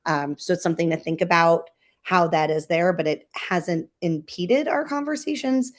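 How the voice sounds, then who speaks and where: A woman's voice: fairly low pitch, normal speed. An American woman speaking as a board chair, giving an informal meeting update in an agreeable, conversational manner.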